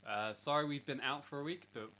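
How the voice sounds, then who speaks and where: A man's voice: a little high, brisk, sharp tone. A man from England co-hosting a podcast in casual chat, sniffly with a cold and laughing often. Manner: laughs often, asks questions. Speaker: podcast co-host.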